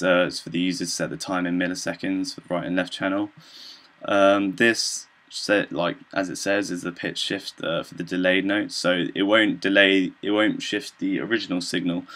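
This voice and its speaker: A young man giving an informal student demonstration of software, talking in a hesitant, halting, loosely explanatory way. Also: low-pitched. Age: young adult. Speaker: student presenter.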